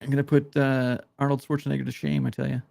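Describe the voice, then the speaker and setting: A man co-hosting a live show, joking in relaxed banter. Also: medium pitch, fast talker, gravelly, no room sound. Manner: joking, laid-back banter. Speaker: co-host. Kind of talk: casual live stream chat.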